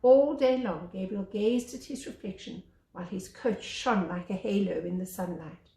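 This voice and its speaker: A woman reading a children's story aloud as a teacher, with expressive narration. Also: mid-range voice, normal speed. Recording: echoey room.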